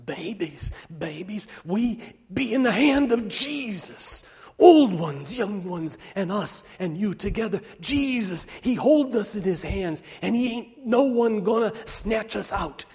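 A man's voice: high voice, expressive, slow pace, darkish tone, slightly rough, voice pushed up, heavily acted. A man playing a fervent, frail old woman. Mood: hushed, intense. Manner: fervent, incantatory, dropping to whispers. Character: frail dying old woman, fiercely loving and devout. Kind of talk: dramatic sermon-like storytelling. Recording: long hall reverb.

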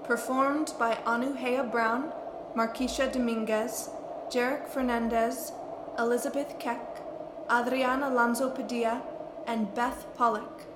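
A woman's voice: a little high, fairly even intonation, unhurried. A woman reading scripted credits as the announcer of an audio play. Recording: roomy echo with clear noise.

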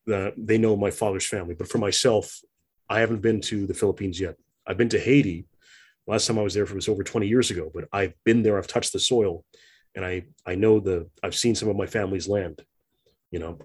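A man in an interview speaking reflectively, with many pauses, fillers and restarts. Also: lowish voice, breathy edge. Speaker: interview guest. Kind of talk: conversational interview.

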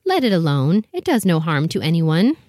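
Woman acting mother duck, storybook character, lowish female voice, dry close sound, proud, fussy, protective, instructive. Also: sing-song, normal speed, a little mellow, dry recording. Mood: defensive, reassuring. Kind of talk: full cast storybook dramatization.

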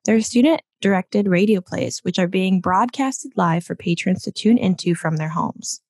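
A woman's voice: on the low side, no echo. A woman hosting a podcast, introducing and interviewing a guest in a presenter's style. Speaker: podcast host.

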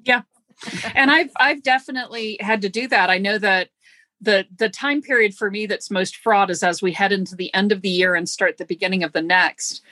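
A woman host speaking thoughtfully, with halting starts and gentle self-deprecation, in a conversational interview. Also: mid-range voice, no room sound.

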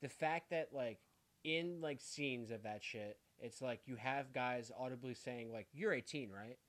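A man's voice: mid-range voice, a little slow, fairly bright tone, no echo. A man giving opinions in casual podcast banter, very slangy, peppering his talk with filler words. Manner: slangy, says "like" and "dude" constantly. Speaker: podcast co-host.

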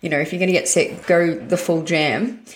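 A woman hosting a fitness podcast at home, her voice hoarse, raspy and husky from a chest infection, talking in an upbeat, direct way with casual swearing. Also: lowish voice, fairly even intonation, normal speed. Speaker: podcast host and fitness coach.

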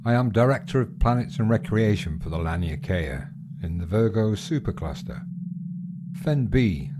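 A cool alien director acted by a man, speaking low with clinical, officious formality. It sounds like a sci-fi radio play, with loud ambient noise behind the voice. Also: animated, normal speed, natural voice. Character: cool, authoritative alien bureaucrat, director of planets. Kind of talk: science fiction audio drama acting.

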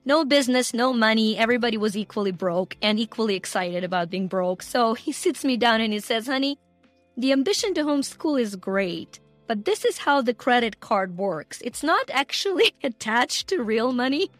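A woman's voice: a little high, animated, normal speed, no echo, faint music behind. A middle-aged woman from Ukraine with a Ukrainian accent, telling her personal story emphatically as an interview guest. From Ukraine, former Soviet Union. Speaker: guest, entrepreneur and coach.

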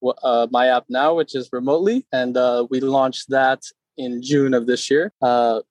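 A man in his thirties from Connecticut, a personal trainer talking casually in an interview, relaxed with many fillers. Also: medium pitch, moderate pace, no echo, dull audio. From Connecticut, USA. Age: 30s.

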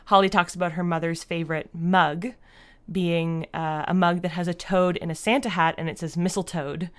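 A woman with a Canadian accent and a fairly low voice talks casually as a podcast guest. She is chatty and informal, peppering her sentences with "like". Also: fairly bright tone. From Fredericton, New Brunswick, Canada. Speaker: podcast guest, audio drama creator. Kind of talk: casual interview chat.

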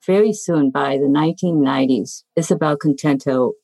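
Casting: woman from Singapore, very low voice, dry close recording, reflective panelist. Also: normal speed, creaky, dark tone, no room sound, poor audio. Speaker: panelist, nutrition expert. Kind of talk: panel discussion.

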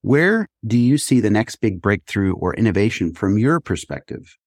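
A man's voice: on the low side, big pitch swings, moderate pace, no room sound, clean studio sound. A man hosting a business podcast, reading guest introductions and asking questions in an upbeat, friendly manner. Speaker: podcast host. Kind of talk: interview hosting.

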